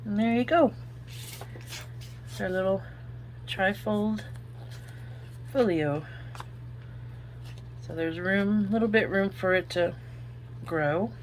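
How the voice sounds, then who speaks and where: A woman's voice: mid-range voice, takes it slowly, pauses often, slight background noise. A woman with an Oklahoma accent, chatting her way through a crafting tutorial and thinking aloud as she works. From Oklahoma, United States. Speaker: craft video host.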